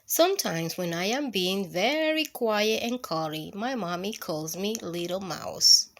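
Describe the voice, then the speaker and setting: A woman's voice: mid-range voice, expressive, unhurried, a little hiss or hum, voice pushed down. A woman voicing a small child who insists she is big, strong and brave, with a boastful, childlike manner.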